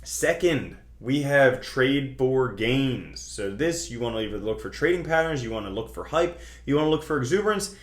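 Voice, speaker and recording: A man's voice: medium pitch, lively intonation, moderate pace, hardly any pauses. Casual man explaining in an echoey room. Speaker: host of a cryptocurrency trading video channel. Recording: roomy, echoing sound.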